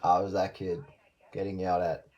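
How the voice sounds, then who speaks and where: A man's voice: low voice, flat delivery, brisk, darkish tone, dull audio. A man chatting casually over a radio link with a dry, wry manner. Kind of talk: casual group chat.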